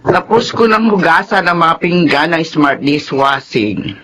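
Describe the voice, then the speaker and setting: A woman's voice: sits low, measured pace. An actress playing a chatty, sympathetic elderly grandmother in a Filipino radio drama, with a Filipino accent and a fussing manner. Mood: concerned, chatty. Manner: animated, fussing.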